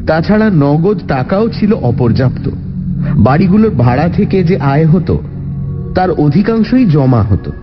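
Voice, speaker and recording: A man narrating a scripted literary audio story in an expressive storytelling manner. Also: fairly high pitch. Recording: slightly dull recording under loud music. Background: background music.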